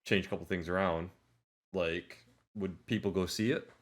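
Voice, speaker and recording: A man's voice: deep voice, fairly even intonation, normal speed. A man co-hosting a podcast, talking informally in a casual discussion and hedging his points as he goes. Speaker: podcast co-host.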